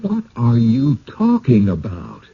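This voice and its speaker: An actor playing a blunt, impatient, sardonic husband who believes none of it, in a radio drama. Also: male voice, medium pitch, huge pitch swings, slow, husky, exaggerated voice. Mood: indignant. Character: skeptical, blunt, impatient husband, ordinary city man. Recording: dull old radio recording.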